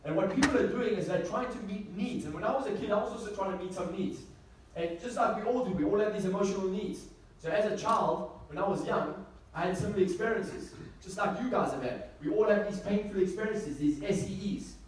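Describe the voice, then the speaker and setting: A man's voice: high-pitched, fairly quick. A man giving a motivational seminar talk to a live audience, emphatic, repeating key phrases and asking rhetorical questions. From South Africa. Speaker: motivational speaker. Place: echoey indoor venue with an audience. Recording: echoey room, distant mic, faint noise.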